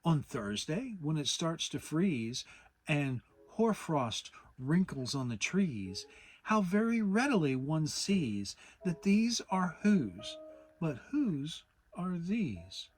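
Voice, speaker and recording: A storybook reader voicing a gentle, earnest, slow-witted bear with a halting, deliberate manner. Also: medium pitch, big pitch swings, slight background noise. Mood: earnest recital. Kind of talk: dramatic children's storybook reading.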